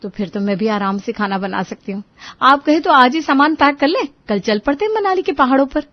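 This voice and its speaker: A woman's voice: high voice, expressive, fairly quick, no echo, lo-fi sound, pitched above the performer's own voice. A woman voicing a harried, outspoken young housewife in a cartoon family story. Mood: agreeable. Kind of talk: cartoon family drama acting.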